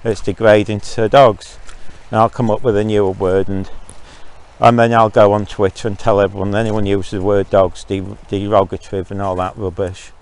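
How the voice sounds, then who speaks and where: A man from Zambia with a slightly low voice talks into his own podcast while out walking his dogs. He rants his opinions in a loose monologue and often stops to restart a thought with an um. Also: level tone, normal speed, a little mellow, clean tone. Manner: opinionated and ranting, with frequent ums and restarts. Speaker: podcast host.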